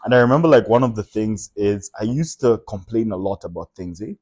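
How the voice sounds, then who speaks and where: A young man from Zambia, a comedian guest telling stories in a relaxed podcast chat, with lots of fillers and laughter. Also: fairly low pitch, a little fast, husky. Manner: storytelling, laughs often, many fillers.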